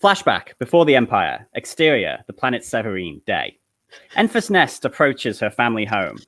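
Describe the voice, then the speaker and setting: A man's voice: mid-range voice, huge pitch swings, moderate pace, a bit bright. A man reading stage directions as scripted narration at a comedic table read.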